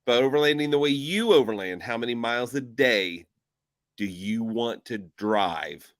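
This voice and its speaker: A man hosting a casual overlanding talk show, joking and energetic, circling back and repeating himself as he puts questions to his co-host. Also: medium pitch, moderate pace, dry recording, clean studio sound. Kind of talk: casual podcast chat.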